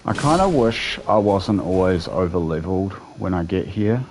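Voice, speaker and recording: Low-voiced New Zealand man streaming a game. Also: moderate pace, slight background noise, poor audio. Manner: relaxed, rambling, answers chat between play. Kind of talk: casual gameplay chat with live viewers.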